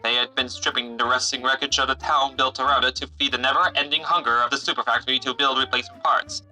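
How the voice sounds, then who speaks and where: A man narrates a scripted audio story. His voice is strikingly bright and sharp at an average pitch, with light music running underneath. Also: steady tone, fast, low-quality recording.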